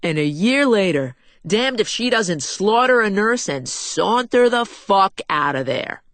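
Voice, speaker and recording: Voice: mid-range voice, lively intonation, measured pace, no echo, voice pushed up. A reader voicing a boisterous 65-year-old male crime boss who talks crudely and with swagger. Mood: gloating, boisterous. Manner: crude, swaggering, loud storytelling.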